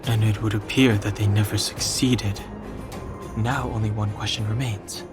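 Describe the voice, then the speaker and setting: Game companion, male actor: lowish voice, composed, analytical, deliberate, clear music behind. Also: steady tone, lightly acted. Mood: pensive.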